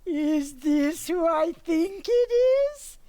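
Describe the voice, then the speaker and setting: Woman acting a high-voiced, blunt older mother. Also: lively intonation, mellow, dry recording, exaggerated voice. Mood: girlish, smitten. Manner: blunt, drawn-out, dramatic delivery. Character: blunt, flighty older widowed mother in love again. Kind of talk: amateur radio play acting.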